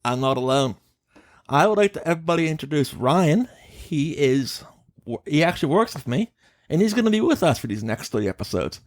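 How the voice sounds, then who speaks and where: A man's voice: fairly high pitch, very animated, brisk, no room sound. A man hosting a casual podcast, giving his opinions emphatically and swearing casually. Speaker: podcast host.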